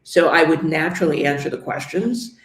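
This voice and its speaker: A middle-aged woman from New York, a real estate agent, speaking as a guest in an interview with a measured, understated manner. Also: low voice, reverberant. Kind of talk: interview conversation.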